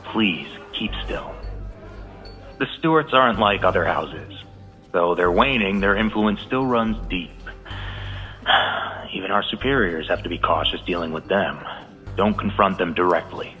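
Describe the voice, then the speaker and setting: A man voicing a cautious agent who speaks in a hushed, urgent, steady way, in a game cutscene. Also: deep voice, measured pace, soft background music. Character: cautious male agent urging restraint. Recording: dull reverberant game audio.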